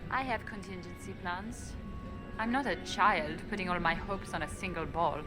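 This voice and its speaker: An actress playing an elven spymaster in a video game, guarded and coolly defiant, speaking in a measured, dry way. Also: female voice, on the low side, lightly acted. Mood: determined. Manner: measured, matter-of-fact, dry. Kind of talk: video game dialogue acting. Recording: music behind the voice. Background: game music.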